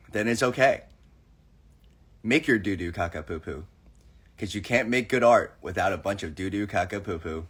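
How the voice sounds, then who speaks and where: A man's voice: lowish voice, a bit bright. A young man hosting a casual livestream chat, playful and acting out the people in his stories. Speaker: livestream host.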